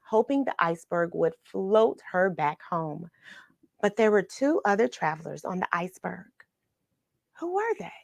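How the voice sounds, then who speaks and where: A woman telling a children's picture story in an expressive way, pausing for chatty questions to the young listener. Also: mid-range voice, vocal fry, no room sound, studio quality. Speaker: children's read-along storyteller and host. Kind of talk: animated picture-book read-aloud with questions to young listeners.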